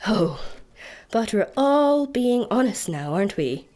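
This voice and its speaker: A woman's voice: on the high side, very animated, takes it slowly, a little mellow. An actress with a Scottish accent playing a scornful, gleefully sinister female interrogation officer in a comic radio play. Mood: gloating.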